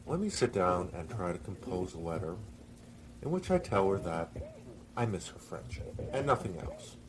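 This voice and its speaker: A man telling a story in an informal, conversational way, halting and pausing mid-sentence. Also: sits low, sing-song, background noise. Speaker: storyteller reading an original story. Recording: music playing behind the voice. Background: music.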